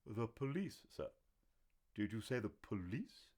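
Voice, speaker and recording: A man's voice: on the low side, sing-song, a little slow, a little mellow, pitched above the performer's own voice. A man voicing a stiff, proper older butler who speaks with formal, deferential politeness. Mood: startled.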